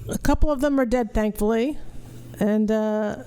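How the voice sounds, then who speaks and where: A woman's voice: fairly high pitch, normal speed, mellow. A middle-aged woman hosting a podcast, giving casual, blunt commentary with some swearing. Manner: blunt, profane. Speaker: podcast host. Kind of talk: casual watch-along commentary.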